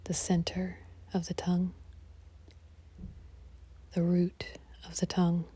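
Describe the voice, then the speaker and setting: A woman guiding a relaxation meditation in a soft, breathy, half-whispered, soothing delivery. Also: on the low side, completely flat delivery, slow. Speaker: yoga nidra meditation guide.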